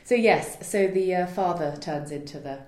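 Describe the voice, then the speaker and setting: Low-voiced woman playwright in a roomy space. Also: normal speed, darkish tone. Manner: earnest, searching for words, laughing lightly. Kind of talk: reflective interview answers.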